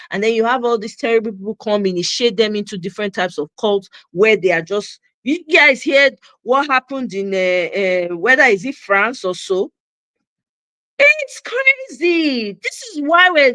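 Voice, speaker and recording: Middle-aged Nigerian woman host with a Pidgin-tinged accent, passionate and emphatic, bright-pitched, dry recording. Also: dry recording. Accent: Nigerian, with Pidgin phrases. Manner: emphatic, repeats her points for stress. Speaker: host of a commentary channel. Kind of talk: impassioned opinion monologue.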